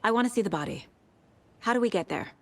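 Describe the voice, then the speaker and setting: A woman's voice: fairly high pitch, animated, fast talker. A woman playing a composed, determined FBI agent and mother in a cinematic video game, businesslike and matter-of-fact. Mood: focused.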